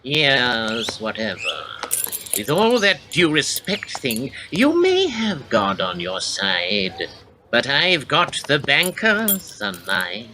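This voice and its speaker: An actor playing a dismissive, sarcastic military leader who talks casually. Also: male voice, mid-range voice, wildly swooping pitch, takes it slowly, pushed and bright, a little hiss or hum, exaggerated voice. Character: cocky sardonic military leader, male.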